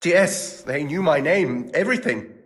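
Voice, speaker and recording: A jumpy cornered clerk in a game, halting and defensive, big echoing reverb. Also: male voice, on the high side, expressive. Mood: anxious, resentful. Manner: hesitant, halting. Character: nervous, cornered thieving monastery clerk, defensive and evasive. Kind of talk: video game voice acting.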